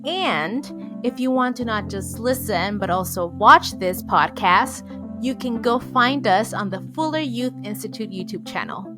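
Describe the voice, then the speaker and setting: A woman's voice: on the high side, animated, a little slow. A woman reading a scripted promotional podcast outro as an announcer. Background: music.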